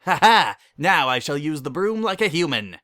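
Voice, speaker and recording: A playful cartoon unicorn mare, put on by a man, pitched up from a normal talking voice. She is boisterous and theatrical, with mock drama in every lively swoop. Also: normal speed.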